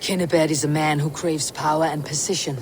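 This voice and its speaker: A woman's voice: sits low, little pitch movement, brisk, close to an everyday voice. Actress as blunt, distrustful Norse warrior woman, solemn game-cutscene delivery, slight background noise. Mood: wary. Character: hard, suspicious female Viking warrior. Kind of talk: video game cutscene acting.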